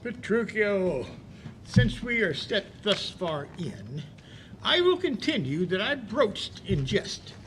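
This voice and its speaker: A man acting a courteous, lovesick gentleman in a Shakespearean radio play. He has a high voice and a slow, swooping, theatrical delivery, with slight noise behind. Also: exaggerated voice. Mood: teasing. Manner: measured, expressive declamation. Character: courteous, lovesick gentleman friend giving counsel.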